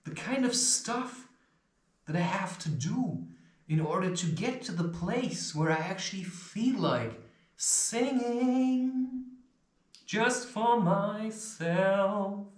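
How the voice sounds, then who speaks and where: A man with an occasional stutter drifts through a rambling self-help monologue. His voice sits a touch high and swoops around in lively pitch, while he talks slowly. The room around him rings with echo. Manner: loose, swearing, chuckling, animated. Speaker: self-help video host. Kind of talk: rambling spiritual advice monologue. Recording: echoey room.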